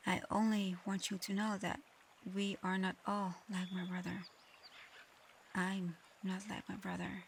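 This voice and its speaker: A woman voicing a proud but conflicted dragon girl in human form for an ASMR audio roleplay, soft-spoken, hesitant and earnest. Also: medium pitch, a little hiss or hum.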